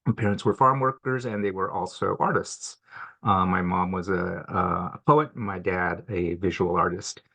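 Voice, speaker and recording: A middle-aged man, born in Mexico and raised in Washington State, a program manager introducing himself on a video call from an office, relaxed and halting with many fillers. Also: low voice. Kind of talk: webinar self-introduction. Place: office at home or work. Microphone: computer mic on a video call.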